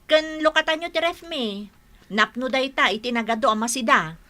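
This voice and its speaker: A devoted wife in a radio play, proud of her home and generous to family. Her voice is high, clear-cut and bright. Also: normal speed. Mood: welcoming. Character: proud, hospitable wife and dutiful daughter. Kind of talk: comedic radio drama acting.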